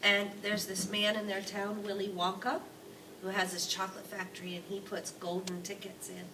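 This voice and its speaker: A woman storyteller with a mid-pitched voice reads to a child, expressive and chatty. She is a little away from the mic, with clear noise behind her. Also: normal speed. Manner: prompting with questions. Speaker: read-aloud storyteller. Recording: distant mic, clearly noisy room.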